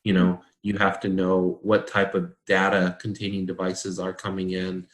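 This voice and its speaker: Low-voiced man, echoey room. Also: completely flat delivery, moderate pace. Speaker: consultant explaining a standard. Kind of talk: informal explanatory discussion.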